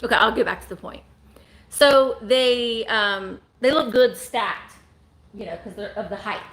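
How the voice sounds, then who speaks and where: A woman's voice: a little high, unhurried, fairly bright tone, rough recording. A woman in her forties hosting a live vintage sale from home, chatting and joking as she shows items. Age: 40s. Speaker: online vintage seller.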